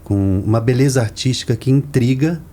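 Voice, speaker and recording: A man's voice: medium pitch, normal speed, dark tone. A Brazilian man hosting a podcast in casual, conversational interview style. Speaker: podcast host. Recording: clear background noise, room ambience.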